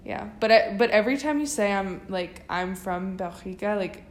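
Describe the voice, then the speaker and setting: A woman's voice: mid-range voice, moderate pace, roomy sound. A young woman co-hosting a casual podcast, chatting loosely with lots of fillers. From United States. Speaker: podcast co-host. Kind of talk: casual chat.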